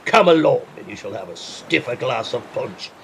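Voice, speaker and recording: An actor playing a bold, hard-drinking squire in an audio drama, hearty and blustering. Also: male voice, mid-range voice, very animated, moderate pace, fairly bright tone, slight background noise. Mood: jovial.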